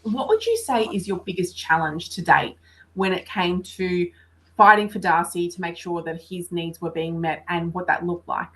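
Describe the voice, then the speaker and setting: A woman's voice: fairly low pitch, normal speed, a bit bright, low-quality recording. A woman hosting a podcast interview, affirming and encouraging her guest. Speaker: podcast host.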